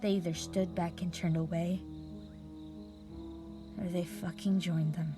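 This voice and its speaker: Low-voiced vengeful mage played by a woman over music. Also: flat delivery, lightly acted. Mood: fierce anger. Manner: emotive, deliberate, halting with pauses. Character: traumatized, vengeful female mage recounting her past. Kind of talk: dramatic audio roleplay monologue. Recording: music bed behind the voice.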